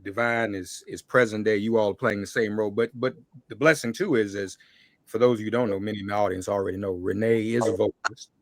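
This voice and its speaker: A man's voice: fairly low pitch, steady tone, fast, dry recording. A man hosting a conversational panel interview, putting questions to his guests. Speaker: interviewer, host.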